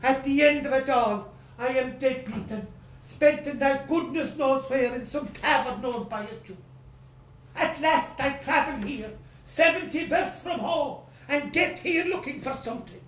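A woman's voice: sits high, a little slow. A woman playing a blustering, angry male landowner who rants in exclamatory, self-pitying outbursts, acting out a stage play. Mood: indignant. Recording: roomy echo, from a distance.

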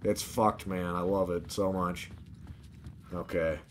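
A man's voice: sits low, steady tone, takes it slowly, a little hiss or hum. A man giving casual, profane video game commentary, muttering asides to himself. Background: video game sound.

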